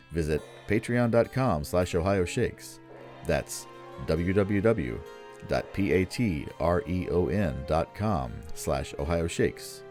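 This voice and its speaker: A man's voice: low-pitched, darkish tone. A man reading scripted closing announcements as a broadcast announcer.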